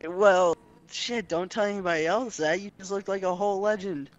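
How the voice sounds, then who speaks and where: A man with a high-pitched voice talks casually as a roleplay gamer. His way of speaking is loose, slangy and peppered with swearing. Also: moderate pace, lo-fi sound. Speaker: roleplay game player. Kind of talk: casual in-game chat.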